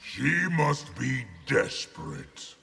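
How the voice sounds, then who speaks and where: A man's voice: mid-range voice, sing-song, extremely slow, creaky, bright, forceful tone, exaggerated voice. A man playing a proud, scheming rebel general in a video game, pompous and unrepentant. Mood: scornful. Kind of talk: video game fight banter.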